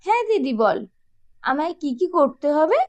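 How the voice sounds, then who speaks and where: A woman's voice: sits high, very animated, normal speed. A woman voicing a meek, gullible young girl in a cartoon, innocent and eager to please. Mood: innocent, obedient. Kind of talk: cartoon voice acting in a moral tale.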